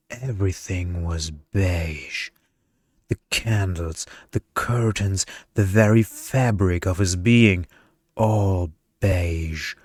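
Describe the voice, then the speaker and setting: Deep, slow-reading male ASMR storyteller. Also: a little mellow, no echo, clean studio sound. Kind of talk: ASMR bedtime story narration.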